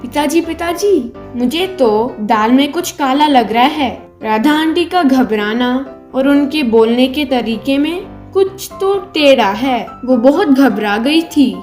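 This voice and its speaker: A woman voicing a sharp, suspicious little village boy in a comic story, inquisitive and insistent. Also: high voice, expressive, slow pace, few pauses, soft background music.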